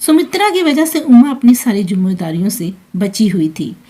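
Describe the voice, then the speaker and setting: A woman's voice: mid-range voice, sing-song, normal speed, warm tone. A woman reading a scripted emotional family story as its narrator.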